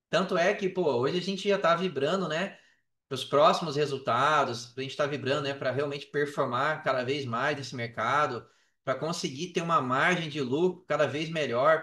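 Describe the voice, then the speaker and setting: A Brazilian man telling his story casually as a podcast guest, in an animated, slangy way. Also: on the high side. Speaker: podcast guest, affiliate marketer. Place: indoors on an online call. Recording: roomy echo on a call.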